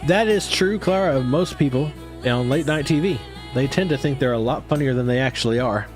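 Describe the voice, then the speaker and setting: A man's voice: medium pitch, brisk, faint music behind. A man hosting a casual reaction livestream, chatting to viewers while he watches a clip.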